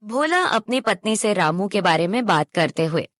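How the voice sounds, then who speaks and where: A woman's voice: mid-range voice, sing-song, normal speed, a bit bright, no room sound, clean studio sound. A woman narrating a scripted moral story as its storyteller.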